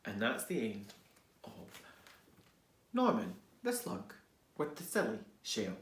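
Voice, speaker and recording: Male teacher, children's story reading, expressive, swooping intonation, unhurried, hushed asides, roomy echo. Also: on the low side, slight background noise. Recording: roomy, echoing indoor sound.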